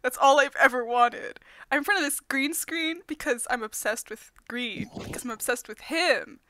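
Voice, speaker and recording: Woman playing a high, bright, lovesick male vlogger. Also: normal speed, rough recording. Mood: dreamy. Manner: gushing, rambling, breaking into laughter. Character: giddy, lovesick, slightly unhinged male science vlogger, obsessed with boyfriend. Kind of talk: improvised parody fandub of a vlog.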